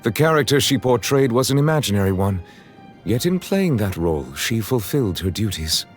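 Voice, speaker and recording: Deep, dignified, formal chief justice. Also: male voice, sing-song, faint music behind. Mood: solemn admiration. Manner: formal, measured, eloquent, earnest. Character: dignified, solemn male chief justice of fantasy nation. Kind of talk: scripted video game character voice lines.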